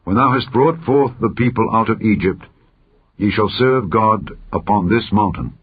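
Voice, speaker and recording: Majestic male deity read by a man for scripture audiobook: authoritative, declamatory, deep, tinny narrow-band recording. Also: moderate pace, breathy edge. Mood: commanding.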